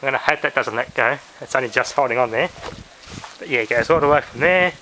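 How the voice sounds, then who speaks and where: A man's voice: mid-range voice, fast talker. A man casually thinking aloud in a muttering way as he gives a hands-on demonstration. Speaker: amateur video maker demonstrating a shortwave radio. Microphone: handheld camera mic. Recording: noisy handheld camera, loud radio static. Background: loud shortwave radio noise.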